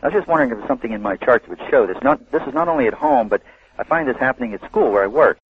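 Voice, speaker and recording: A man's voice: mid-range voice, sing-song, rapid-fire, vocal fry. A man calling in to a radio show, talking casually and easily, with a few hesitations. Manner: casual, easygoing, a little hesitant. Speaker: caller. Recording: tinny phone line over radio.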